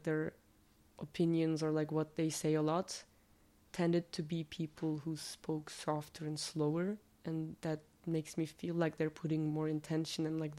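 A young woman from Turkey, a native Turkish speaker, talking casually in an interview with a slightly nasal, deep voice and lots of "like". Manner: relaxed, filler-heavy, says "like" a lot.

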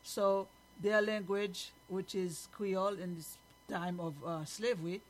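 A woman's voice: medium pitch, steady tone, a little slow. A woman from Haiti with a Haitian accent, reading news aloud as a host and commenting on it in an emphatic, opinionated way.

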